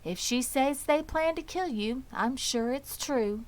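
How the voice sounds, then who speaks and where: A woman voicing a calm, motherly female spider in a children's storybook, brisk, firm and no-nonsense. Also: sits high, pitched above the performer's own voice. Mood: thoughtful. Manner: measured, no-nonsense. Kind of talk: children's storybook character reading.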